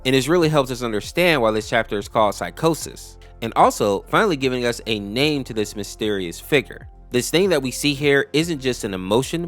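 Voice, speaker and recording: A man's voice: medium pitch, a bit bright. A man giving a casual explainer narration in a conversational, storytelling way. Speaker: YouTube host explaining animated horror lore. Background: background music.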